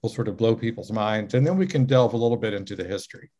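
An older man with a fairly low voice hosting an interview from his living room over a video call. He speaks in a measured, reflective way, setting up questions with care. A webcam microphone picks him up. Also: warm tone. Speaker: interview host.

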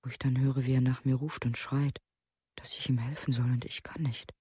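An actor playing a haunted, anguished man who confesses his guilt and grief haltingly in a documentary radio play. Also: mid-range voice, little pitch movement, mellow, husky, dry recording, studio quality.

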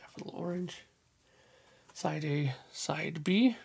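A man with a hoarse voice casually talking through his record collection in a small home room, clearing his throat often. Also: on the high side, slow. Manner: enthusiastic, frequent throat clearing. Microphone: headphones on, webcam or desk mic.

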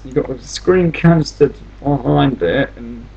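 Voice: medium pitch, unhurried, creaky, mellow, slightly rough, muffled recording. A gamer giving casual commentary on their own play, muttering to themselves and often repeating phrases. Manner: mumbling to self, repeating phrases.

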